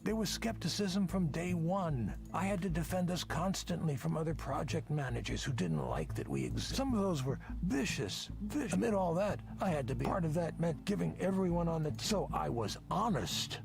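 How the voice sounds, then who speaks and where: A man playing a weary, defensive tech project leader in a visual novel, speaking deliberately and emphatically. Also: a little high, very animated, creaky. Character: self-justifying, drunken male tech project leader, weary and brooding.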